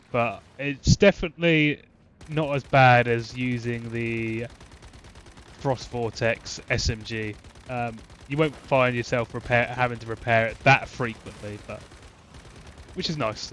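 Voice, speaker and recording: A man's voice: mid-range voice, fairly even intonation, normal speed, a bit bright, a little hiss or hum. A man with a congested, blocked-up voice walks through a game build, rambling with plenty of ums and restarts. Faint game gunfire and explosions sit behind him. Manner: rambling, frequent fillers and restarts. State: congested from a cold. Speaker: gaming channel host. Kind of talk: casual game build commentary.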